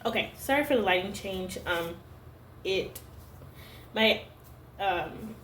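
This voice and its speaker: A young adult woman vlogging to camera at home, talking in a halting, searching way full of "like" fillers. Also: medium pitch, expressive, fairly bright tone, slight background noise. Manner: hesitant, halting, heavy use of "like" fillers. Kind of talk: personal confessional vlog. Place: room at home. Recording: roomy home echo.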